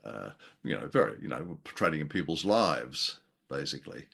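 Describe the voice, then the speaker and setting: A man's voice: rumbling low, very animated, moderate pace, vocal fry, a bit bright, slightly rough. A man co-hosting a show, chatting about a classic film in a hesitant, musing way with a playful, self-deprecating streak. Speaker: podcast co-host. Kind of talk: casual discussion of a classic film.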